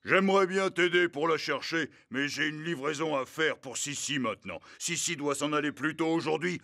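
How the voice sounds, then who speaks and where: A man's voice: fairly high pitch, moderate pace, vocal fry, bright, forceful tone. A man voicing a friendly, busy, concerned delivery truck in a French children's cartoon dub. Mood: apologetic, hurried.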